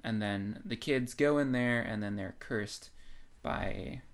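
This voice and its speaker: A podcast co-host with a medium-pitched voice. The talk is conversational and informal, laying out explanations and readings of books as in a chat with a friend. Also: slow pace, creaky. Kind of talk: casual chat discussing books.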